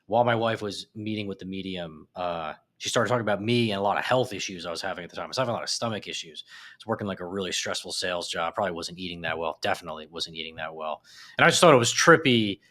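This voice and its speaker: A man chatting as a podcast guest, an animated storyteller whose voice jumps up when he gets excited. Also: deep voice, quick pace. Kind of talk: casual chat. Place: apartment in a big city.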